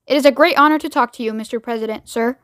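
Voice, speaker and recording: A woman's voice: a little high, fast talker, fairly bright tone, no echo, studio quality, natural voice. A woman voicing an eager, deferential male astronaut in a children's audiobook, earnest and fawning.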